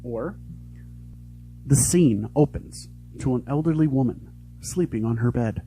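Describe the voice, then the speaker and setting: Slow, mellow male narrator. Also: medium pitch, faint music behind, poor audio. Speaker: voice actor auditioning. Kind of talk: scripted scene narration.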